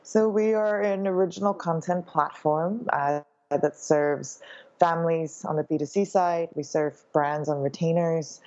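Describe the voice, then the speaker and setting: A woman's voice: low-pitched, fairly even intonation, a little slow, darkish tone. A woman born in Hong Kong and raised in Canada, giving thoughtful interview answers with many ums and uhs. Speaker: guest, founder of a company. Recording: slightly dull, lo-fi sound.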